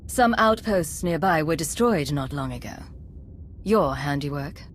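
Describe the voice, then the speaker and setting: An actress playing a defiant, cool-headed agent and commander in a video game, firm, controlled and challenging. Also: female voice, sits low, expressive, unhurried, a little hiss or hum. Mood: cool defiance. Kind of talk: dramatic video game voice acting.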